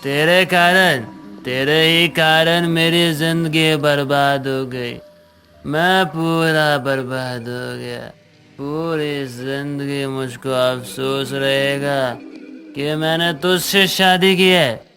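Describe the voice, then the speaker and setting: Casting: menacing drunk husband, slurred and very slow, forceful tone, cartoon melodrama. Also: male voice, on the high side, fairly even intonation, soft background music, full character voice. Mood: bitter, drunken. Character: drunken, abusive husband, bitter and threatening. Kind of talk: Hindi moral-story cartoon dubbing.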